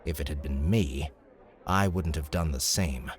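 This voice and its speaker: A man's voice: very deep voice, measured pace, some chatter behind. A man voicing a shrewd, smug young noble in an audiobook, speaking in a glib, formal, commanding way. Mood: measured, charming. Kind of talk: audiobook character dialogue.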